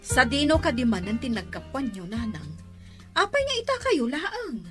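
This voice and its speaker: A woman's voice: high voice, sing-song, moderate pace, over music. An actress playing an adult daughter who scolds her mother in an exasperated way. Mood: scolding.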